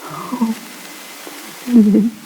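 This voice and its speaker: A woman's voice: a little high, drawn-out words, dark tone. A woman playing a lovelorn young marsh girl in a 1950s radio drama. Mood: anguished love. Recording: noisy 1950s radio recording.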